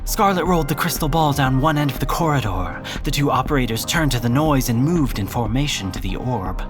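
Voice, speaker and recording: A man narrating a scripted audio drama in a dramatic, deliberate storytelling manner. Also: mid-range voice, expressive, over music.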